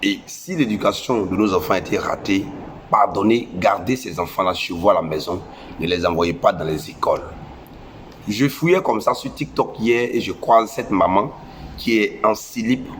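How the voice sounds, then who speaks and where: A man's voice: lowish voice, normal speed, a little hiss or hum. A young man with a West African French accent and a head cold, lecturing parents in a preachy, scolding way and repeating phrases for emphasis. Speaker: social media commentator. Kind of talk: impassioned moralizing monologue.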